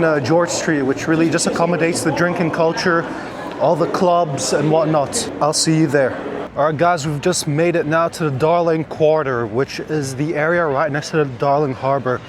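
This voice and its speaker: A man from Egypt narrating a casual walking travel vlog outdoors at night, with many fillers. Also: a little high. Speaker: vlogger. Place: outdoors on a city street at night. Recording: street noise behind.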